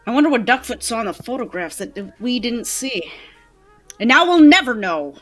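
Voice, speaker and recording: A woman playing a brisk, businesslike detective with clipped, decisive delivery in an old-time radio play. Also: fairly high pitch, expressive, faint music behind. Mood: thoughtful, businesslike.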